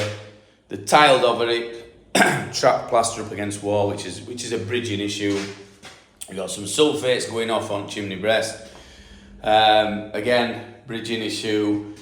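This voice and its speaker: A middle-aged Yorkshire man talks you through a damp job in a house stripped back to bare walls. He speaks slowly and rambles with fillers and false starts, and his voice bounces around the empty room. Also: fairly low pitch, roomy sound. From Yorkshire, England. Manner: rambling, explanatory, many fillers and restarts. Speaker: damp proofing specialist. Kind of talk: casual on-site walkthrough. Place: house being stripped for damp work, indoors.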